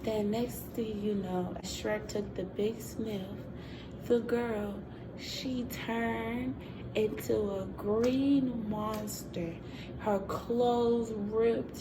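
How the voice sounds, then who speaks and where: A woman telling an improvised children's story in a deliberate way, often repeating phrases. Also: fairly high pitch. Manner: slow, deliberate, repeating phrases, with sound effects. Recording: clearly noisy, ambient room sound.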